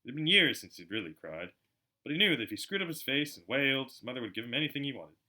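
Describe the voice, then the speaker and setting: A man narrating a storybook aloud in an expressive, dramatic way, as an amateur audiobook reader. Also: mid-range voice, fast, low-quality recording. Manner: animated, dramatic.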